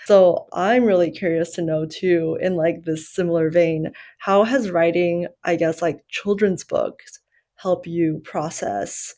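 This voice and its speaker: Podcast host woman from China, fairly low voice, reflective and rambling, lots of fillers. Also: fairly even intonation, unhurried, mellow. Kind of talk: casual podcast conversation.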